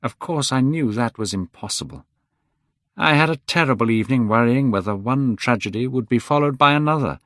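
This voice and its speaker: A man reading aloud, voicing an older painter who sounds worried, gentle and pleading. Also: medium pitch, fairly quick, no room sound, clean studio sound, voice pushed up, close to an everyday voice. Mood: anxious, pleading.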